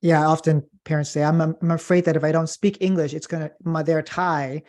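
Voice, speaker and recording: A man's voice: fairly high pitch, level tone, brisk, darkish tone. A man hosting an interview, asking short, friendly questions in a conversational way. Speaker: podcast host.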